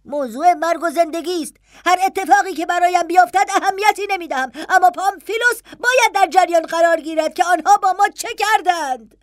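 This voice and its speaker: A woman's voice: sits high, no room sound, clean studio sound, pitched above the performer's own voice, natural voice. A woman voicing a distraught, fiercely loyal servant woman in an audiobook, urgent, insistent and pleading. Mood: desperate, insistent. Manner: impatient, urgent, voice climbing louder. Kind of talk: dramatized audiobook dialogue.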